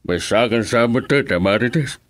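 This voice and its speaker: An actor playing a grumbling, wealthy political boss in a radio serial, terse, with an Ilocano accent. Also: male voice, medium pitch, normal speed, no echo, studio quality, natural voice. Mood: teasing, dismissive. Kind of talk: radio serial drama acting.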